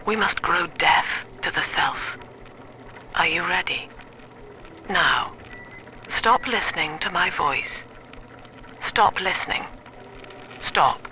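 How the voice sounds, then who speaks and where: An actress playing a cold, calculating cult leader who lectures in a measured, clinical way in a video game. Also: female voice, on the low side, pushed and bright, a little hiss or hum, full character voice. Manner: measured, clinical, precise diction. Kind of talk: scripted video game monologue.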